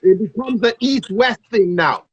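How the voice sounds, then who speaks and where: A man's voice: high voice, expressive, a little slow, breathy edge. A man hosts a casual conversation. He leans on slang and repeats himself to drive points home. The sound is dull and lo-fi, like a livestream. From Toronto, Canada. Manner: emphatic, repeats phrases. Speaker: show host. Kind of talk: casual, slangy reminiscing with a guest.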